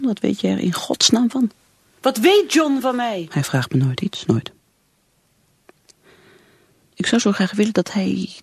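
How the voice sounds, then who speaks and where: An actress playing a bitter, driven middle-aged career woman who drinks, in a radio play, with a breathy, halting, brooding delivery. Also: on the low side, no echo, exaggerated voice.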